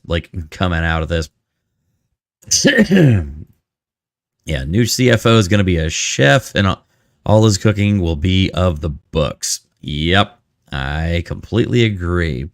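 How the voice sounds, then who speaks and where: Male streamer, very deep voice, unhurried delivery, sarcastic casual commentary, close dry sound. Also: no echo. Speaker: live stream host. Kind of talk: casual live commentary, reading chat.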